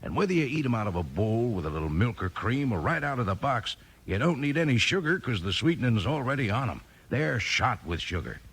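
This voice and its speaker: Husky, folksy cowboy pitchman. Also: sing-song, brisk, hardly any pauses, fairly bright tone, heavily acted. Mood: upbeat, folksy. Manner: folksy, bouncy sales talk. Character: folksy, enthusiastic cowboy radio pitchman talking to children. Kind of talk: 1950s radio cereal advertisement.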